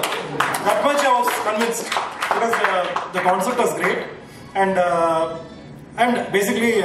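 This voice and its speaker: A man gives a hesitant speech at a launch event, stumbling through fillers and restarts. His voice sits a little high. The mic is distant, the big hall echoes heavily, and a faint hum sits underneath. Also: a bit bright. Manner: halting, many fillers and restarts. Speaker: team owner at a launch event.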